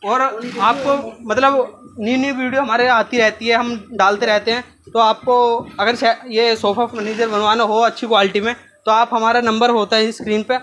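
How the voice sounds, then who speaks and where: A young man talks like a factory salesman showing his goods. His phrasing is informal and halting, with frequent repetition. He is recorded on a phone a few metres away, with clear workshop noise and clicks around him. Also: very high voice, flat delivery, fairly bright tone. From India. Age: young adult. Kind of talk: informal product walkthrough sales pitch. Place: busy furniture factory workshop, indoors. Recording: noisy workshop, phone camera at distance.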